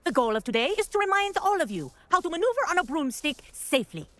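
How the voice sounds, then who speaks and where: A woman playing a strict, brisk wizard-school flying instructor in a video game, firm and formal like a schoolteacher. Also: high voice, sing-song, fairly bright tone, no room sound. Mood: brisk, instructive. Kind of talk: video game character acting.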